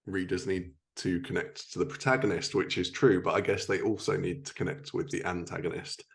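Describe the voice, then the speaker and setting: A man's voice: sits low, steady tone, a little fast, gravelly. A man hosting a podcast, chatting casually as he interviews a guest about writing. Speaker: podcast host and fellow writer.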